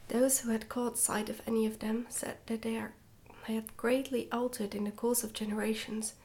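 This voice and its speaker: A woman reading a storybook in a soft-spoken ASMR style, slipping often into a whisper, with a gentle, hushed delivery. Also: a little high, flat delivery, moderate pace. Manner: gentle, hushed delivery with some stumbles over words. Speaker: ASMR reader, channel host.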